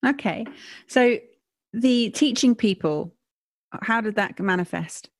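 A woman interviewing a guest, asking calm, reflective questions. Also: a little high, takes it slowly, no room sound.

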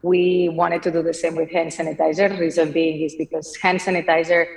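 A woman's voice: low-pitched, level tone, fairly quick, gravelly, distant mic, low-quality recording. A woman from Barcelona with a Spanish accent, a company founder answering podcast interview questions in a thoughtful way with many fillers. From Spain, Barcelona.

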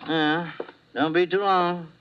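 A man's voice: on the high side, steady tone, slow pace, noisy background. An actor playing a nervous, chatty male night watchman in a 1950s radio drama, talking hesitantly. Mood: uneasy. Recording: dull old radio recording.

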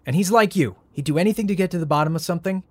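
A man playing a frazzled, sarcastic young heir in an audio drama, with a wry, flustered, halting delivery. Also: high voice. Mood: uneasy. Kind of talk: audio drama acting, naturalistic.

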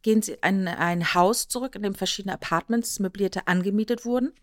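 Eine Frau mit deutschem Akzent plaudert als Podcast-Co-Moderatorin, erklärt erzählend, spielt Zitate nach und sucht mit kleinen Nachfragen Zustimmung. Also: female voice, medium pitch, fairly quick, no echo, clean studio sound. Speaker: podcast co-host. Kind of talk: casual podcast chat, explanatory retelling.

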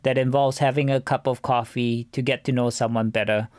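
A man giving measured, explanatory answers as an interview guest, speaking as a venture fund co-founder. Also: mid-range voice, flat delivery, no echo, studio quality.

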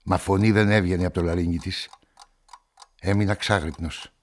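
A deep-voiced, brooding male narrator. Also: steady tone. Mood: brooding, subdued. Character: brooding, solitary male newspaperman narrating a murder story. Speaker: narrator and protagonist of a radio play. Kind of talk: literary first-person radio drama narration.

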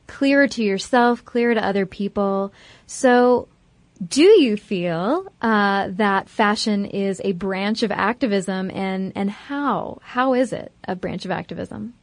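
Woman moderator, upbeat questioning, mid pitch, dry sound. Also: dry recording. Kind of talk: moderating a panel.

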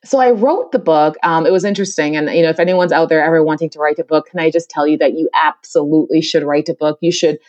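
A woman's voice: low-pitched, fast, hardly any pauses, darkish tone, no room sound, highs cut off. A middle-aged woman from the Caribbean, talking energetically as a podcast interview guest and often repeating her phrases. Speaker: guest, diversity consultant.

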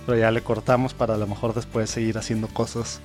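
A man's voice: fairly low pitch, level tone, fast talker, music in the background. A man hosting a casual conversation, friendly and laughing often. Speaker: host. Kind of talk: casual chat and prayer.

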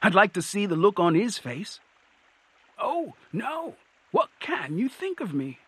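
Dim starstruck troll dad for a fantasy story, way high, super swoopy, gushing. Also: male voice, wildly swooping pitch, unhurried, fairly bright tone, slightly rough, dry recording, full character voice. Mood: chatty, fretful. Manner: desperate, effusive, exclaiming. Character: big stony male troll, dim, excitable, starstruck family man.

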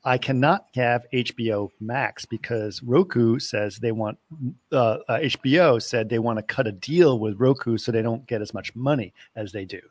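A man's voice: mid-range voice, normal speed, dry recording. A man from Greece co-hosting a casual talk show, telling stories in everyday conversation. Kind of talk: casual chat, telling anecdotes.

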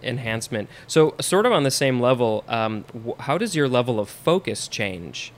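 A man's voice: medium pitch, animated, normal speed. A man hosting a casual chat, who talks steadily and steers the conversation. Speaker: host.

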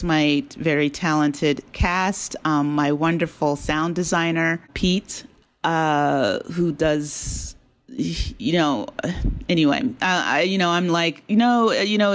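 Female interview guest, lowish pitch. Conversational, chatty, appreciative delivery. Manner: chatty, appreciative, laughs easily. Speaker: interview guest, audio drama creator.

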